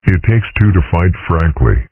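Cartoon school principal, a bull, man performing: bureaucratic, dismissive, smug, cavernously low voice, dry sound. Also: lively intonation, moderate pace, breathy edge, phone-quality sound. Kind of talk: comic strip dub.

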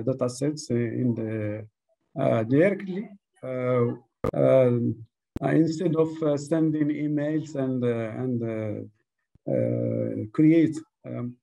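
Halting man presenting over a video call. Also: medium pitch, gravelly, dark tone, low-quality recording. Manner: halting, many fillers and restarts. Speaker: statistics office presenter.

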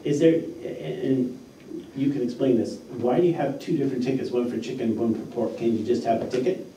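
A man's voice: mid-range voice, fairly even intonation, fairly quick, dark tone. A man talking conversationally as a board member in a meeting, recorded from a distance in an echoey room. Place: mid-sized indoor meeting room. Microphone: far room microphone. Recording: echoey room, distant mic, faint noise.

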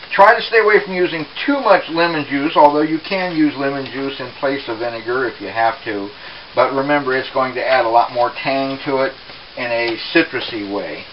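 A man presenting a casual home cooking demonstration in an unhurried, explanatory way, recorded in a kitchen. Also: mid-range voice. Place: small home kitchen, indoors. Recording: dull, hissy home camera audio.

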